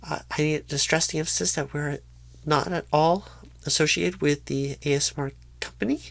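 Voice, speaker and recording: A woman playing a painfully shy, nervous rookie job recruiter in an ASMR roleplay, halting, stammering and apologetic. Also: deep voice, steady tone, gravelly, no room sound. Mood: awkward, earnest.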